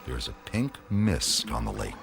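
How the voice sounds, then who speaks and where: A man narrating a scripted radio drama as a storyteller, with deliberate phrasing. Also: extremely low pitch, very animated, creaky, noisy background. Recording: loud music under the voice.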